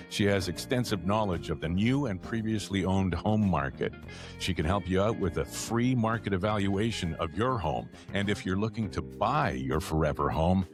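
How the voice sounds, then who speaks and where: Lively low-voiced ad announcer, a man. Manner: wry, playful asides. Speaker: podcast sponsor announcer. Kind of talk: conversational ad read.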